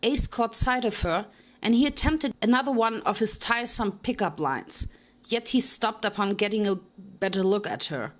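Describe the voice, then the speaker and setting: A woman narrating a fan fiction story in a dramatic reading, with steady storytelling delivery. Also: fairly high pitch, moderate pace. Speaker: reader.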